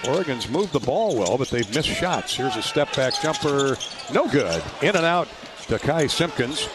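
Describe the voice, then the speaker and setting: A man's voice: medium pitch, animated, moderate pace. An American man doing live play-by-play radio commentary of a basketball game. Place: indoor basketball arena. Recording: clear arena noise behind, ball bounces. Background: game ambience, bouncing balls.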